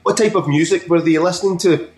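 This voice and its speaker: A man hosting a rock talk show, asking friendly questions with halting restarts over a video call. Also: high voice, darkish tone. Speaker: podcast host. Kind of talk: interview hosting. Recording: roomy video-call audio.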